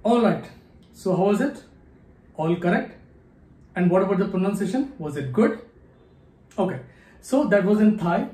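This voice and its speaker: A man's voice: sits high, expressive, normal speed, pauses often, mellow. A man in his thirties with an Indian English accent, speaking to camera as a channel host and reciting number words one at a time. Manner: counts number words one at a time, with tones that rise and fall. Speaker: memory athlete, channel host. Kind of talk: to-camera video with a slow, deliberate recitation. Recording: echoey room.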